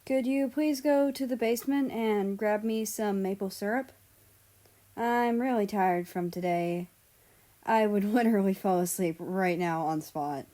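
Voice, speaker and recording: A woman's voice: mid-range voice, measured pace, mellow, close to an everyday voice. A woman voicing a cheeky, friendly younger brother with a casual, teasing way in a story reading. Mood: pleading, tired.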